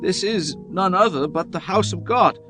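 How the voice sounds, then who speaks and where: A man's voice: sits high, normal speed, music in the background, lightly acted. A man voicing a devout young patriarch in a dramatized scripture reading, solemn and formal. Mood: awed vow. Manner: solemn, archaic formal diction. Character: devout young male patriarch, earnest and pleading.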